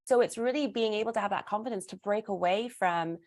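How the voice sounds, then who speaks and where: A woman with a British accent talks in an animated, conversational way as a guest. She makes her points emphatically and often slips into little imagined exchanges to illustrate them. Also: medium pitch, fairly quick. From Britain. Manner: emphatic, acts out imagined dialogue. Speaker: guest, performer and burlesque teacher. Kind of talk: animated conversational interview talk.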